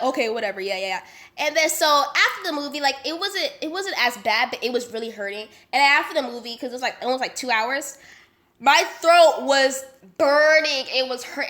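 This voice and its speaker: A young woman from Las Vegas, sick and congested with a nasal voice, telling a story in a chatty, animated way full of slang and fillers. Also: sits high. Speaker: vlogger. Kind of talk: casual storytime vlog.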